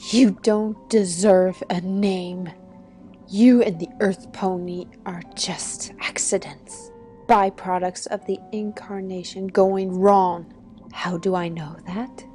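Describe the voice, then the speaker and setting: A woman plays a ruthless unicorn mare in a dramatic audio story. Her mellow, dark voice moves slowly and threateningly, hissing on key words with obsessive menace, over background music. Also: mid-range voice, steady tone, lightly acted. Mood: cold menace. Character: ruthless, menacing female unicorn pony villain, fiercely obsessive. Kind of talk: dramatic fan fiction audio acting.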